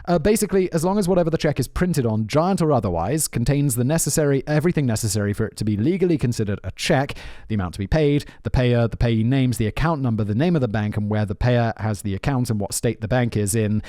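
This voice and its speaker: A man's voice: mid-range voice, animated, quick pace, hardly any pauses, warm tone, dry recording, studio quality. A man hosting a podcast, chatting casually and rambling, often saying "dude". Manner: rambling, spells things out, says dude often. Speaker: podcast host. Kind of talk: casual chat.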